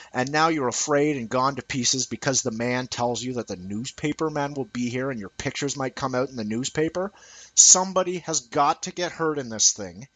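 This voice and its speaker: A man voicing an angry male activist leader, rebuking and forceful, in an audiobook reading. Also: mid-range voice, dry recording, natural voice, low-quality recording. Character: angry male civil rights leader scolding timid ministers.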